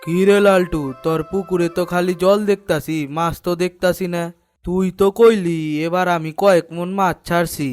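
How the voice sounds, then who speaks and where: Man acting as older cartoon village headman, high voice, East Bengali dialect, greedy, sly, conspiratorial, music behind. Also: level tone, moderate pace, a little mellow, natural voice. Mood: nosy, needling. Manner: conspiratorial, self-important.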